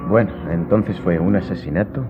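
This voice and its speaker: Male actor playing aristocratic master, very low voice, measured formal questioning, cool irony, radio drama, muffled sound with music. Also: close to an everyday voice. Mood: cool, ironic. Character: aristocratic master coolly questioning his servant, ironic. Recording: dull, muffled audio with music underneath.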